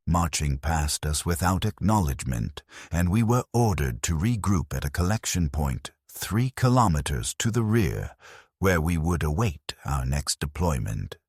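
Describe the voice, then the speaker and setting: A man narrating a dramatic scripted first-person war story as a grim, war-weary soldier, in a grave, measured, deliberate delivery. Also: very deep voice, sing-song, darkish tone, husky, no room sound, clean studio sound. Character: grim war-weary penal legion soldier recounting battles. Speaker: narrator of a fan lore channel.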